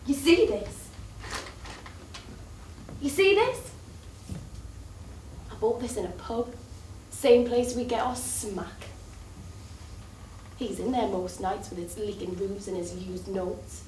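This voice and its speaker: A woman's voice: medium pitch, animated, slow pace, pauses often, darkish tone. A woman acting in a stage play as a desperate, bitter, jealous woman, volatile, foul-mouthed and sardonic. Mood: bitter, agitated. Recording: roomy, distant stage recording with faint noise.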